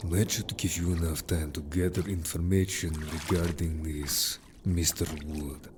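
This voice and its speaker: A man's voice: low-pitched, steady tone, measured pace, noisy background. A man playing a stern, wary merman in an audio story, curt and grave, distrustful of humans. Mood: guarded, businesslike. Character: stern, wary, disapproving merman information gatherer. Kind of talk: audio story character acting.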